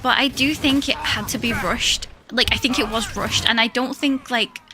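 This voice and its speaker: Shy high-voiced woman streamer. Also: moderate pace, music in the background. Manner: shy, hesitant, rambling with fillers and little laughs. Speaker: live streamer. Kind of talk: casual chat while playing a game.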